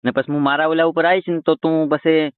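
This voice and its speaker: A man's voice: a little high, flat delivery, brisk, smooth, dry recording. A man in a heated phone argument, talking in a colloquial, stubborn and confrontational way. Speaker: roast video creator taking a call. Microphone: phone call. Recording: tinny phone-line call recording.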